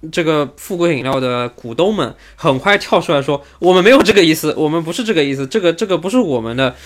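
A man's voice: fairly high pitch, expressive, quick pace. A man hosting a casual motorsport podcast discussion. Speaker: podcast host.

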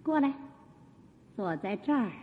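An actress playing an imperious, scheming empress in a radio drama, haughty and commanding under false kindness. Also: female voice, fairly high pitch, sing-song, a little slow. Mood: coaxing, haughty. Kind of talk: theatrical Chinese radio drama acting. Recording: muffled radio drama with long reverb.

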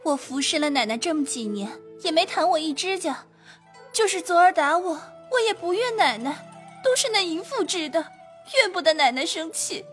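A man's voice: extremely high pitch, animated, normal speed, fairly bright tone, distant mic, faint music behind, voice pushed up. A man voicing a humble young maidservant pleading with her mistress, in a storytelling reading.

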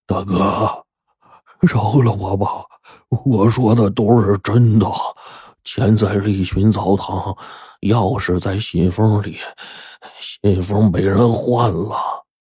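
A man's voice: fairly low pitch, steady tone, slow pace, mellow, husky, no room sound, clean studio sound, exaggerated voice. A man voicing a terrified, wounded young man begging for his life in a spoken story. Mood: faint, weak. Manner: whimpering, desperate.

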